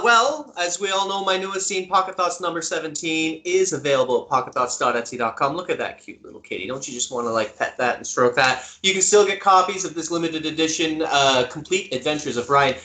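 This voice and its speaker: A man's voice: a little high, sharp tone. A man hosting a casual zine show, joking and upbeat as he plugs his own work. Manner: jokey, upbeat plugging. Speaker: podcast host.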